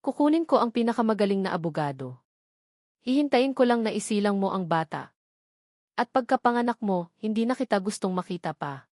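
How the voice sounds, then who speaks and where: A woman's voice: medium pitch, animated, a little fast, a little mellow, no echo, clean studio sound, natural voice. A woman voicing a wealthy young man in a Tagalog romance story, cold, scornful and bitterly accusing, with an authoritative manner. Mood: cold, final.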